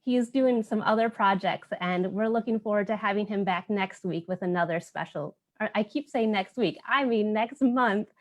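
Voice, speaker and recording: A woman's voice: mid-range voice, normal speed, hardly any pauses. A woman hosting a virtual children's story time, explaining things in simple, child-friendly language.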